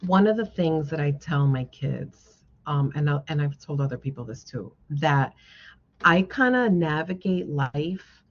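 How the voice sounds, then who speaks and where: A woman's voice: low voice, animated, measured pace. A woman guest speaker giving an encouraging motivational talk to a class, often checking in with her listeners. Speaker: guest speaker, social worker. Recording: dull, band-limited lo-fi sound.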